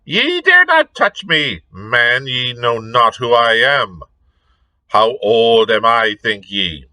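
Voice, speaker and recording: Ancient witch woman, shrill falsetto cackle, cruel and defiant, storybook reading, a man puts her on; slow and swooping. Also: big pitch swings, bright, forceful tone, no echo, full character voice. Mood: shrieking, cackling.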